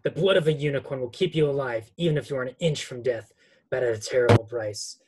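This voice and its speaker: A man playing a solemn, cryptic centaur with a husky voice, reading in an amateur table read. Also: medium pitch, quick pace, noisy background, close to an everyday voice. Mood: grave, warning. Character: solemn male centaur, grave cryptic forest guardian.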